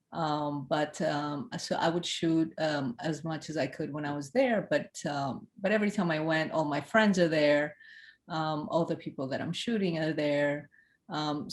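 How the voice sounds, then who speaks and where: Low-voiced woman, thoughtful and hesitant. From Ethiopia. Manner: thoughtful, hesitant, many fillers and restarts. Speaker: film director. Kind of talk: recorded festival Q&A.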